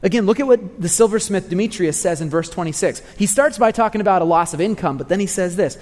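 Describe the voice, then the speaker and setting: A man's voice: high-pitched, fast, darkish tone, in a large hall. A man preaching a sermon, earnest and repeating phrases for emphasis.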